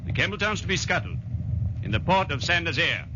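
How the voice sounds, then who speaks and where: An actor playing a firm, businesslike commando officer with a clipped, commanding manner in a 1940s radio drama. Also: male voice, fairly high pitch, big pitch swings, a little fast. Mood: firm, grave. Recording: muffled, noisy 1940s radio recording.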